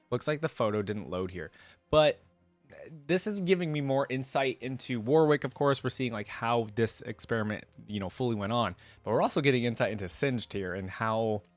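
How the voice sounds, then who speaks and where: Low-voiced man, close dry sound. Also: no echo. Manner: casual, excitable fan. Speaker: reaction channel host. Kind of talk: casual reaction commentary, reading game lore aloud.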